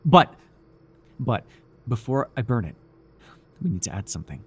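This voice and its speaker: A man voicing a devoted, nervy, lovestruck cultist in an ASMR roleplay, earnest and halting, shifting between grand and flustered delivery. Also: mid-range voice, very animated, fairly bright tone, husky, heavily acted. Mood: reverent, careful. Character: devoted, awkward young male cultist, zealous, lovestruck, nervy.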